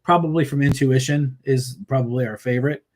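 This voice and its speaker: A man's voice: medium pitch, a little fast, slight background noise. A man guest on an interview show, chatting casually about his band in a rambling way, with many fillers and restarts, laughing easily. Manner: laughs easily, animated storytelling. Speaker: musician guest.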